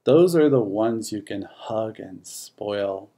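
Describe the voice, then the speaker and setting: A narrator reading a rhyming children's picture book in a measured, expressive way. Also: medium pitch. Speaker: audiobook narrator. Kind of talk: scripted children's storybook narration in rhyme.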